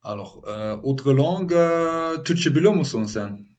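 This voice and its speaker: A man's voice: a little high, expressive, a little slow, darkish tone. A man on a video call, chatting casually and playfully quizzing his partner with questions. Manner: playful, testing the other with questions. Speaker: polyglot video host. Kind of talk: casual chat.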